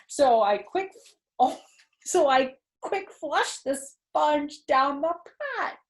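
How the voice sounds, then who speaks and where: An excitable little girl played by a woman reader tells her own storybook tale. She is high-voiced, mischievous and blunt, with a dramatic, eager delivery and childlike grammar. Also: extremely slow, exaggerated voice, rough recording. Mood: alarmed. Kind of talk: expressive children's storybook reading.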